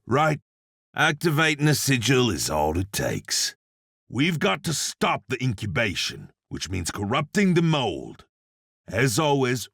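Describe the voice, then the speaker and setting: An actor playing a wry, gruff warrior with a raspy, gravelly voice, delivering video game combat callouts. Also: male voice, on the high side, pushed and bright, no echo, clean studio sound, full character voice. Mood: wry, matter-of-fact. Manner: wry, sardonic. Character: gruff, wry demon-hunting berserker warrior.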